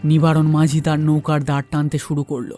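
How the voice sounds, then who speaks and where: A male narrator tells a ghost story in a dramatic, scripted style. His voice sits high for a man yet stays mellow, with light music underneath. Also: flat delivery, brisk. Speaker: story narrator and channel host.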